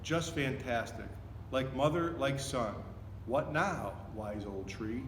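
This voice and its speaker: A man's voice: mid-range voice, slow, fairly bright tone. A man voicing a sarcastic, bossy female crow in a children's storybook. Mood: sardonic. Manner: commanding, sardonic. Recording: echoey, reverberant room with noticeable noise.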